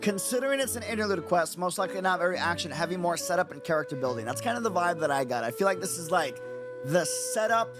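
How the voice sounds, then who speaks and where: Hoarse, sleepless male streamer. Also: high-pitched, animated, fast talker, over music. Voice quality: raspy. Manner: rambling, repeats phrases, frequent fillers. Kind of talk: casual livestream chat.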